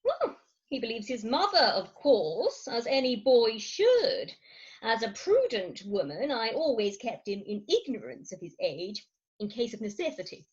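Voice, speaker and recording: A woman's voice: on the high side, very animated, a little slow, husky, highs cut off, full character voice. A woman acting a fretful, melodramatic Victorian wife, swooping voice. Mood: woeful. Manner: melodramatic, confiding, emphatic. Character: fretful, theatrical Victorian wife of thirty-six. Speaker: actor in an amateur staged reading. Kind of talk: Victorian farce stage acting. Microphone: video call.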